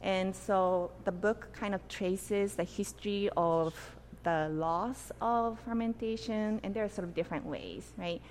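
A middle-aged woman from Japan, an author and guest, answering interview questions in an animated, explanatory way with frequent "you know" and "right?". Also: medium pitch, measured pace, hardly any pauses, slight background noise.

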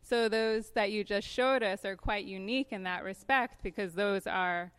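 A woman interviewing a guest in a friendly conversational way, asking questions and adding soft backchannel replies. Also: medium pitch, moderate pace, smooth.

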